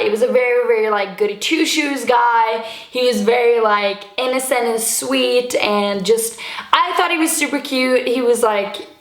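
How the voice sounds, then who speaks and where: A young woman from Sweden rambles through a casual storytime, packed with likes and casual swearing. Her voice sits a little high. The room gives the recording a noticeable echo. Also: fairly bright tone. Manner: chatty, swears casually, lots of likes and fillers. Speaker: YouTuber.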